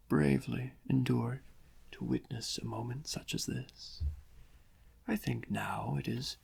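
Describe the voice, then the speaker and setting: Man playing a husky, creaky-voiced gloating villain. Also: medium pitch, exaggerated voice. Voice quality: breathy, husky, often near whispering. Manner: pompous, drawn-out, mock-polite musing with florid wording. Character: gloating, theatrical criminal mastermind villain, supremely confident yet cautious. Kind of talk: soft-spoken ASMR villain roleplay.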